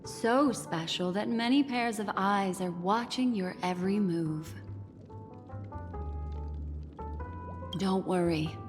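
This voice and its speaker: A woman voicing a poised, enigmatic young memory keeper in a video game, with a measured, soothing and coy manner. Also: fairly low pitch, big-room sound, close to an everyday voice. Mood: coy, reassuring.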